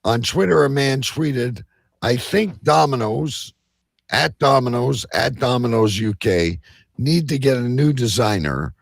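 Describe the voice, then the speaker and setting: A man's voice: medium pitch, lively intonation, a little slow, creaky, dry recording. A man hosting a live talk show, reading out odd news and bantering casually with crude jokes. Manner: crude, joking.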